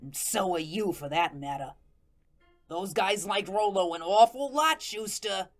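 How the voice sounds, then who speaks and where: Slow, folksy ghost storyteller, acted by a woman. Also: medium pitch, expressive, soft background music, close to an everyday voice. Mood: cold, vengeful. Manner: folksy, colloquial, deliberate storytelling. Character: Gus, plainspoken vengeful ghost narrating his tale. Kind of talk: first-person audio drama narration.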